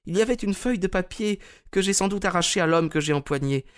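A man voicing a pale, frail older widow in an audiobook, speaking softly and plaintively in a raised voice. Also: sits high, fast talker, smooth, voice pushed up, lightly acted. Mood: weary plaintive. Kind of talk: audiobook character reading.

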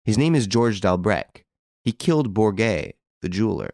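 Man reading assured, wry gentleman investigator. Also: on the low side, big pitch swings, normal speed, vocal fry, no room sound, clean studio sound. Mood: firm, calm. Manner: assured, theatrical, unhesitating.

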